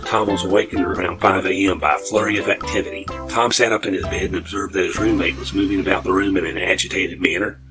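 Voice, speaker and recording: Male storyteller for humorous fairy-tale narration; low-pitched voice with a clear music bed. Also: steady tone, fast talker, hardly any pauses.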